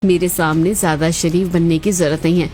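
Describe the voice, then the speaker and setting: A woman plays a greedy, jealous elder daughter-in-law from a cartoon story. She speaks in a lowish voice with a haughty, taunting edge, over a music bed. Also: fairly even intonation, a little fast, a little mellow, close to an everyday voice. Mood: demanding. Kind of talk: Hindi cartoon moral-story voice acting.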